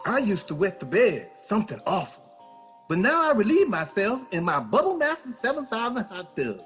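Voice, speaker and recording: A man's voice: high-pitched, huge pitch swings, moderate pace, a bit bright, soft background music, exaggerated voice. A man voicing an earnest, folksy customer giving a hot-tub testimonial.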